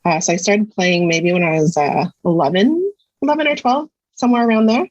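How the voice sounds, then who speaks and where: A woman's voice: medium pitch, lively intonation, fairly quick, darkish tone, no echo. A woman in her thirties from Canada, a psychology researcher talking through a casual interview, thoughtful and hesitant with many fillers. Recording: dull lo-fi sound, dry.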